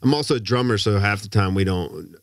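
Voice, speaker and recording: A middle-aged man from the United States with a lowish voice talks in a loose, halting way, full of fillers and easy laughs. The sound is close and dry. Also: expressive, dry recording. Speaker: podcast guest, drummer. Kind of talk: casual conversation.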